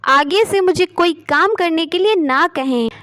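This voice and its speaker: A woman's voice: very high voice, unhurried, fairly bright tone. A woman voicing an arrogant, self-centred young college woman in a storybook tale. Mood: curt, haughty. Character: arrogant ambitious young college woman, selfish and sharp-tongued.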